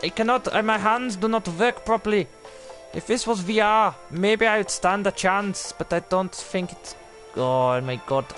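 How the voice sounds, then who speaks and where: A young man streaming a game, putting on a mock German-style accent that drifts into a made-up one, swearing and shouting in excitement. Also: sits high, a little slow, soft background music. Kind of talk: live let's-play commentary.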